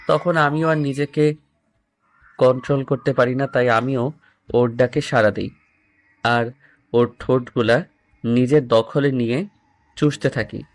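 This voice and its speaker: Casting: young male narrator, mid-pitched voice, first-person romantic audio story with acted dialogue.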